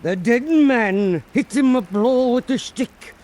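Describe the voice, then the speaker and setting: A man playing an old Irish island storyteller, telling an eerie fireside tale in a deliberate, theatrical way for radio drama. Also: very high-pitched, expressive, darkish tone, full character voice. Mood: eerie, measured.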